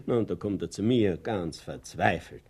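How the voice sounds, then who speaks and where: An actor playing a naive, proud older Bavarian man in a 1960s radio play, husky and gravelly, telling things in a folksy, chatty way. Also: low-pitched, normal speed, darkish tone. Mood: fond, boastful. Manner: folksy storytelling with chuckles.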